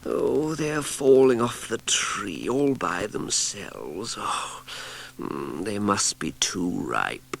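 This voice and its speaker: A man voicing a fussy female cat who guards her pudding tree, speaking high, slow and drawn-out. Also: big pitch swings, creaky, heavily acted. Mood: fretful.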